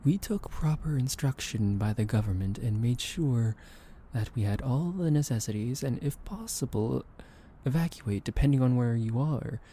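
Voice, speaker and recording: A young man in a soft ASMR roleplay, gently soothing and teasing the listener with soft laughs, hesitant fillers and the odd whispered aside. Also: medium pitch, animated, normal speed, breathy edge.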